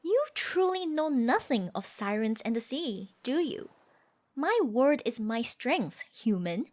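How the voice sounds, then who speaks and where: A woman's voice: on the high side, very animated, slow pace, a little mellow. A woman voicing a proud, scoffing tsundere siren with stiffly formal phrasing in an ASMR roleplay. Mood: proud, menacing. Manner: haughty, scoffing, flustered stammers, archaic formal phrasing. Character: haughty tsundere siren, proud, secretly smitten sea creature.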